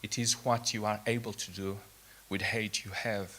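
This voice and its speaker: A man whose first language is Portuguese, giving an earnest, slightly nervous conference presentation with many halting fillers. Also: lowish voice, level tone, pushed and bright, poor audio. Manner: self-deprecating, halting with fillers. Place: conference hall.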